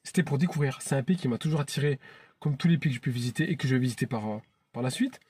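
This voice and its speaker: A man's voice: mid-range voice, racing, a little mellow, husky. A man in his thirties from France, hosting his own channel in an earnest, chatty vlog monologue that often restarts phrases. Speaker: YouTube channel host.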